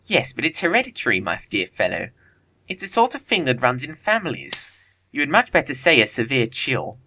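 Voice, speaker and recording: An actor playing a witty, idle young dandy with an arch manner, in a comedy audio play. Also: male voice, mid-range voice, sing-song, fairly quick, sharp tone, full character voice. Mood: teasing.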